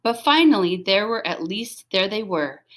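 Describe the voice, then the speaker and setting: A woman's voice: medium pitch, animated, moderate pace, a bit bright. A woman reading a children's story aloud as scripted narration, expressively, with dramatic emphasis. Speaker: storybook reader.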